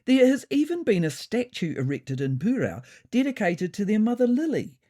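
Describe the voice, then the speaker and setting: Probing reporter for an animal tale, voiced by a woman: deliberate, accusing, persistent, mellow tone with animated emphasis. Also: mid-range voice, voice pushed up. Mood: pointed, accusing. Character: persistent, probing newspaper reporter questioning cat politicians. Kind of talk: audiobook storytelling.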